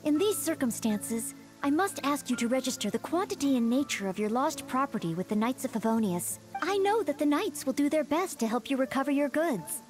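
A woman voicing a polite, earnest young maid in a video game, formal and gentle in manner. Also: sits high, animated, a little fast, hardly any pauses, faint music behind. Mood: polite, dutiful. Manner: formal, courteous, gentle, dutiful. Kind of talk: scripted video game voice acting.

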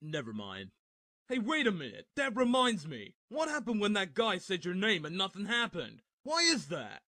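A high, bright young ninja fellow voiced by a man, polite and meek. He chatters in a cartoon spoof with very swoopy pitch. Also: huge pitch swings, moderate pace, no room sound, exaggerated voice. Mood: curious. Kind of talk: comedic parody dub.

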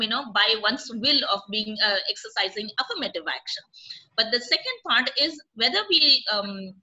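A woman's voice: on the high side, sharp tone, rough recording. A middle-aged woman professor speaking on a panel over a video call from a room at home, thoughtful and hesitant, with many fillers and restarts. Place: room at home with orange curtains. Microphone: laptop webcam on video call.

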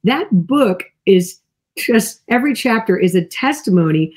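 A woman's voice: medium pitch, unhurried, breathy edge. A woman in her sixties, a healing minister, talking with fervent emphasis over a video call from her home office. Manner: emphatic, expressive, fervent preaching tone. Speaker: guest, healing minister and author. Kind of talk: animated testimony chat. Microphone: video call webcam.

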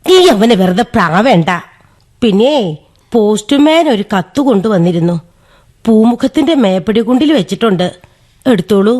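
A woman's voice: medium pitch, animated, normal speed, a little mellow, lightly acted. A woman with a Kerala accent playing a firm, elderly village aunt who runs the household in a radio drama. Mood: firm, practical. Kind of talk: Malayalam radio drama acting.

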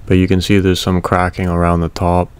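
A man casually narrating a welding repair video in a relaxed, matter-of-fact way. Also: low-pitched, fairly quick, clean tone.

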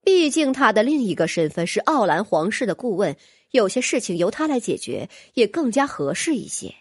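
A woman's voice: mid-range voice, sing-song, normal speed, husky, no room sound, studio quality. A woman narrating a fantasy audiobook from a script, as a storyteller.

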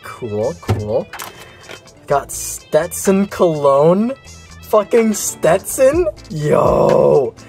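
A man's voice: fairly high pitch, very slow, vocal fry, faint music behind. A young man unboxing a gift package at his desk, swearing and laughing as he goes. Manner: excitable, swearing, laughing often. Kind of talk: casual unboxing vlog. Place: indoors at a desk.

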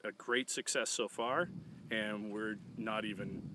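A farmer in his forties speaks with a fairly low voice and a bright, forceful edge. He talks plainly and matter-of-factly, with frequent fillers. He was recorded outdoors in a field, with a faint hiss of open air behind him. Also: steady tone, measured pace. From Massachusetts. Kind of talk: casual interview talk.

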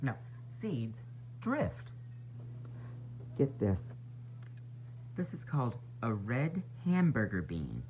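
A man's voice: mid-range voice, sing-song, takes it slowly, pauses often, a little mellow, highs cut off, slight background noise. A man reading a picture book aloud to young children like a teacher, with dramatic emphasis and playful asides.

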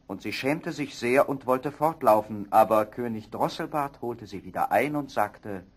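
A man narrating a scripted fairy tale as an expressive storyteller. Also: mid-range voice, moderate pace, husky.

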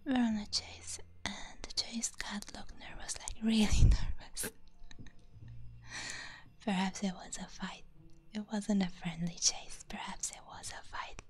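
A woman with a Hungarian accent, whispering softly and gently rambling through a binaural ASMR stream chat. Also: mid-range voice, fairly even intonation, measured pace. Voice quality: soft breathy whisper. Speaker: VTuber streamer. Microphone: binaural mic.

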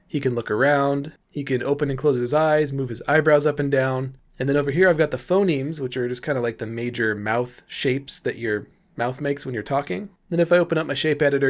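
A man narrating a tutorial in a casual, informal, explanatory way. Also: medium pitch. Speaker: 3D animation tutorial presenter.

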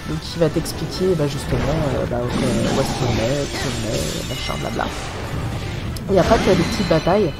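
A woman's voice: very deep voice, expressive, normal speed, creaky. French woman with a cold, rambling. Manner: rambling, many hesitations and fillers. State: has a cold, sniffly. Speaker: gaming channel host. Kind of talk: casual gameplay commentary. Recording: voice-over with faint game music.

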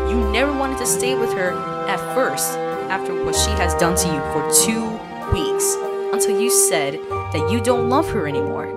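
A woman storyteller hosting and reading a scripted story recap addressed directly to the listener. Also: medium pitch. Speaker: channel host and storyteller. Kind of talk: scripted second-person story recap narration. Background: loud music.

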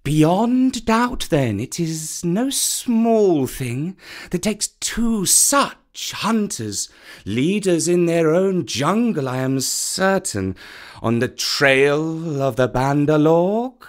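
An actor reading a storybook and voicing a wary, proud old male python with a slow, courteous, hissing delivery. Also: mid-range voice, sing-song.

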